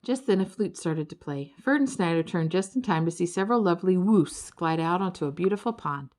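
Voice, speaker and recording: A woman narrating a children's storybook in an expressive, dramatic storytelling way. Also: on the low side, a little fast. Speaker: audiobook narrator.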